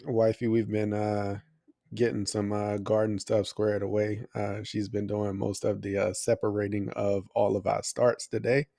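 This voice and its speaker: A man's voice: fairly low pitch, completely flat delivery, normal speed, vocal fry, mellow, no echo, studio quality. A man co-hosting a livestream, chatting casually in an informal, colloquial way. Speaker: live show co-host.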